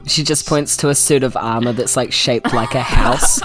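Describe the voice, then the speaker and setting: A woman's voice: a bass voice, moderate pace, fairly bright tone, soft background music. A woman with a New Zealand accent trading casual, joking banter as a tabletop game player.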